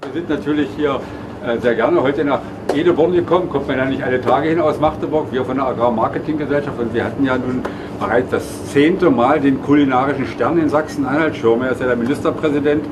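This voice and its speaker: A man, an agricultural marketing official, gives a loose, rambling statement full of hesitations. His voice sits at an ordinary middle pitch. Constant air-conditioning hum is clearly audible behind him. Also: fast. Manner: rambling with filler words. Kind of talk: spoken statement for a news report.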